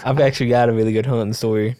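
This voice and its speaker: Flat-voiced laid-back co-host, a man. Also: mid-range voice, rapid-fire, no room sound, studio quality. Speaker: podcast co-host. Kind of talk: casual podcast chat, storytelling.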